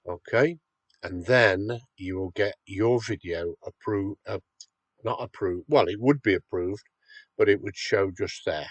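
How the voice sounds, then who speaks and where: Slow, low-voiced man with a cold. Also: dry recording. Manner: patient, step-by-step, checks in with "okay". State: has a cold, slightly nasal. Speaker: website owner demonstrating a tool. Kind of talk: screen-recorded software tutorial.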